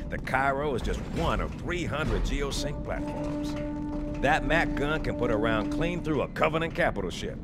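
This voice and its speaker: An actor playing a folksy, swaggering, wisecracking veteran marine sergeant major in a video game. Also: male voice, medium pitch. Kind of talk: cinematic video game acting. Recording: loud music behind.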